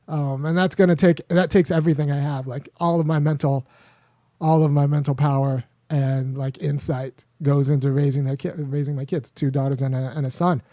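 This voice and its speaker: A man's voice: on the high side, little pitch movement, moderate pace, mellow, no room sound. Talkative man in a dry, close room. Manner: rambling, frequent restarts and fillers. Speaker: host. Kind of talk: casual commentary monologue.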